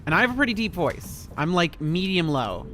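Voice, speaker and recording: A man's voice: high voice, sing-song, fairly quick, a bit bright, faint music behind. A man in his thirties streaming a horror game, chatting in a rambling, laid-back way with a lot of swearing. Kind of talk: casual gameplay commentary.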